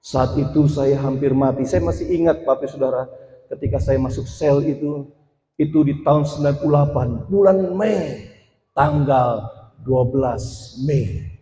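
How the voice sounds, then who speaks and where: A man's voice: mid-range voice, moderate pace. Echoey testimony from a man preacher. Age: 40s. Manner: addresses congregation directly, rises to emphatic loud passages. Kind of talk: sermon testimony. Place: church. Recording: echoey hall with long reverb.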